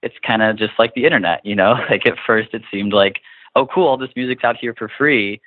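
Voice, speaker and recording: A man with a lowish voice talks casually and thoughtfully as an interview guest. He sounds like he is on a narrow phone line, dry and without room echo. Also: fairly quick.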